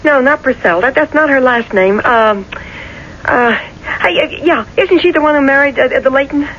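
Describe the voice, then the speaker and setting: An actress playing a pushy, scheming wife in a 1970s radio drama, coaxing and insistent. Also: female voice, sits high, moderate pace, fairly bright tone. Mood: prodding, eager. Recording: dull, noisy vintage radio recording.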